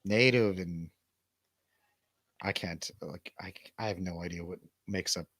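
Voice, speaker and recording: A man's voice: low voice, a little slow, a bit bright, breathy edge, dry recording. A man hosting a show in casual, bantering chat, rambling from one thought to the next. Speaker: radio show host and DJ.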